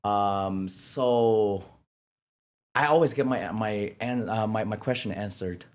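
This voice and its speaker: A man from Vietnam, a native Vietnamese speaker with a Vietnamese accent, talks casually and earnestly as an interview guest, often repeating himself and halting. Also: fairly low pitch. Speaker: interview guest, real estate agent.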